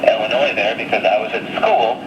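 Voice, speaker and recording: Male shortwave radio host, measured and articulate letter-reading delivery, echoey signal with plenty of noise. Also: fairly low pitch, lo-fi sound. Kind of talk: reading a listener's letter on air.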